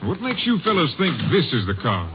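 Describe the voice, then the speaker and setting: Calm Ranger captain, noisy recording. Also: male voice, on the high side, brisk. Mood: calm, probing. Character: calm, senior male Texas Ranger captain. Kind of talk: 1940s-50s radio drama acting. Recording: muffled, very noisy old radio recording.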